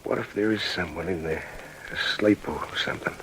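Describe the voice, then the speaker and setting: A man's voice: deep voice, normal speed, a bit bright, slight background noise, poor audio. An actor playing an uneasy, compliant junior burglar with an Australian accent in an old radio crime drama, hesitant and deferring to his boss.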